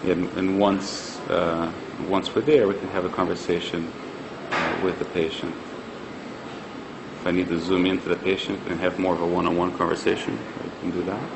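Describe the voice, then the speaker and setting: A man with a very deep, creaky voice walks through a product demonstration. His delivery is conversational and a little hesitant. There is a clear ambient hum behind him in an indoor space. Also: little pitch movement, moderate pace.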